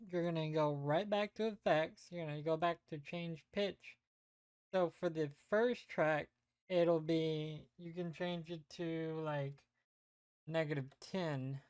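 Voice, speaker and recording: A woman giving a casual, unscripted software tutorial, hesitantly thinking aloud as she goes. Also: sits low, clean tone, no room sound, studio quality. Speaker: tutorial presenter.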